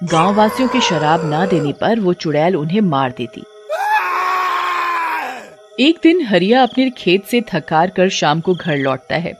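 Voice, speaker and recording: A woman's voice: lowish voice, expressive, measured pace, raised voice, music in the background. A woman giving scripted narration of a story as its narrator.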